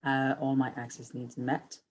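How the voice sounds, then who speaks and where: A woman giving a brief, halting share on a video call. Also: rumbling low, completely flat delivery, slight background noise. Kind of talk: casual online group check-in.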